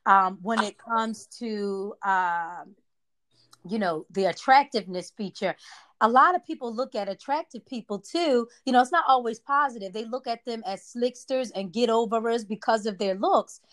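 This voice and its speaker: A woman's voice: fairly high pitch, moderate pace, a bit bright. A woman co-hosting a casual chat, making her points emphatically and drawing responses in a preachy call-and-response way.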